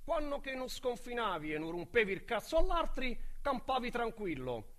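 An actor with a Roman accent playing a young, boastful, foul-mouthed street criminal in a radio drama monologue, with coarse slang and a swaggering delivery. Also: male voice, extremely high pitch, very animated, normal speed, fairly bright tone, full character voice. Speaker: narrator and lead character.

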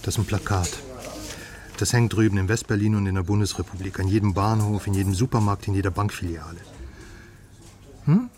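Actor as low-voiced, insistent, prodding man. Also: brisk, long pauses, a crowd in the background, lightly acted. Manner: prodding, emphatic. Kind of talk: radio drama dialogue.

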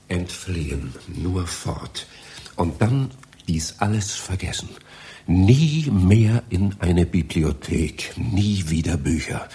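Lost confused visitor in a radio play, polite but sceptical, lowish voice that jumps around a lot. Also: male voice, darkish tone, slight background noise. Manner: hesitant, politely humouring, conversational. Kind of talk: satirical German radio drama acting.

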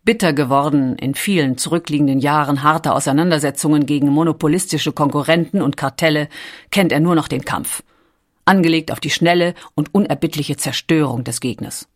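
A woman's voice: sits low, fairly quick. A woman reading scripted documentary narration in a composed, carefully articulated storytelling manner.

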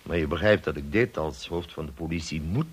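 An actor playing a formal, authoritative police colonel in a radio play, questioning in a measured, stern way. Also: male voice, extremely low pitch, slightly rough. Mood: formal, probing. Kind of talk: Dutch radio drama acting.

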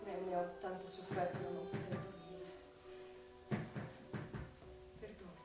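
A young woman playing a devoted, anguished sister reciting a confessional letter in a halting, theatrical radio drama style. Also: lowish voice, little pitch movement, lightly acted. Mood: anguished, tender. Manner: halting, repeats words, breathy emotional outbursts. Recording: echoey, distant, music behind.

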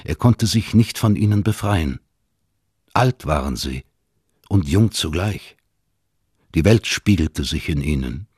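A man with a husky voice narrating a scripted literary story, close on a studio microphone. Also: deep voice, darkish tone, dry recording.

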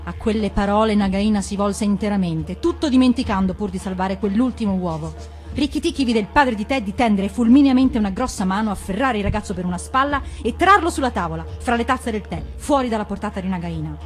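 Female storyteller: expressive storybook narration, medium-pitched voice, faint musical backing. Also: brisk, soft background music. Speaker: actress reading stories on radio.

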